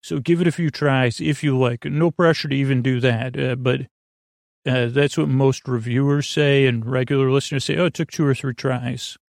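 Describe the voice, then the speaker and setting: A man hosting a sleep podcast with a creaky voice, rambling in a soothing, meandering, silly way and fumbling his words as he improvises. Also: medium pitch, steady tone, normal speed, no echo, studio quality. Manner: soothing, lulling. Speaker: podcast host.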